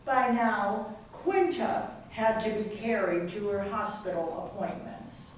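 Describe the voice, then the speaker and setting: A woman's voice: mid-range voice, animated, slow. A woman narrating a scripted readers theater piece as part of a stage cast. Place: library readers theater performance, indoors. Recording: very echoey hall, distant, faint hiss.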